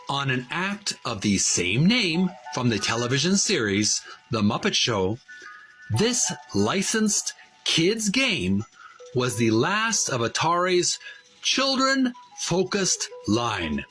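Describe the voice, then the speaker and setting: A man hosting a retro gaming channel, casually narrating a show-and-tell and often pausing mid-phrase. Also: on the high side, sharp tone, poor audio.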